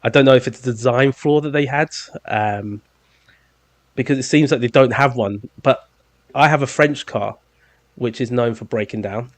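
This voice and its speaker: A man's voice: medium pitch, moderate pace, no room sound. A man with a London accent in his thirties, hosting a podcast in a rambling, jokey way with lots of ums. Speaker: podcast host. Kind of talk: casual chat.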